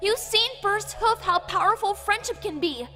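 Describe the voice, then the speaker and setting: A woman's voice: very high voice, normal speed, over loud music. A woman voicing an anxious, earnest young female pony in a dramatic fan fiction audio reading, cautious and pleading. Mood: alarmed, pleading.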